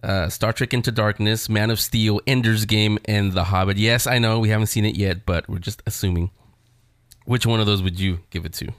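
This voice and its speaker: Low-voiced man, joking show host. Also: steady tone, moderate pace, no echo, studio quality. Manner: joking, wisecracking. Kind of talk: casual chat interview.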